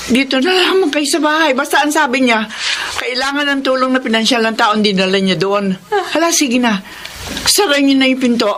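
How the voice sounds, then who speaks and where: A woman's voice: sits high, brisk, fairly bright tone, a little hiss or hum. An actress playing a cautious wife and mother in a classic radio drama, questioning firmly. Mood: urgent. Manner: questioning, firm.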